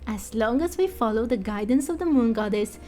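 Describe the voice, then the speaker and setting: A woman's voice: fairly high pitch, animated, a little fast, dark tone, faint music behind, lightly acted. A woman voicing an overconfident young priestess in a fantasy game, emphatic and boastful but easily rattled. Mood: breezy, boastful.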